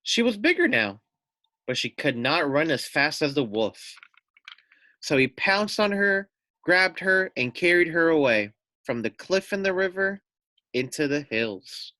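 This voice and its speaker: A man's voice: a little high, big pitch swings, measured pace, sharp tone, dry recording. A man reading a children's story aloud like a teacher, expressively, with asides to his listeners. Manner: addresses listeners with questions and asides.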